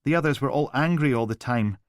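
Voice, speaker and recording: Guy doing a scared little kid, high whiny pleading, dry close sound. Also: a little fast, no echo, natural voice. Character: frightened small boy, pleading and timid.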